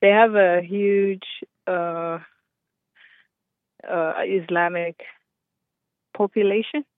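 A woman's voice: on the low side, fairly even intonation, extremely slow, dry recording. A woman from Uganda calls in to a radio show and explains things patiently and earnestly, with light laughs. Her voice comes through a thin, tinny phone line. The sound is dry. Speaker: caller.

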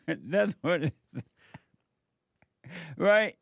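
A man's voice: high voice, expressive, extremely slow, gravelly, dry recording. Casual male host, slightly raised voice, dry close mic, laughing, joking. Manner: laughing, repetitive, playful. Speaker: show host. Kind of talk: casual chat reacting to posts.

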